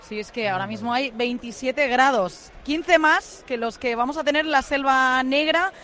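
Reportera de voz aguda y brillante. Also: female voice, high-pitched, moderate pace, sharp tone, slight background noise. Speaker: travelling reporter. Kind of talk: radio sports report.

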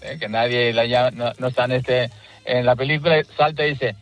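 A man's voice: mid-range voice, fairly even intonation, a little fast, soft background music. A man calling into a radio phone-in to tell a story about his daughter. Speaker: caller.

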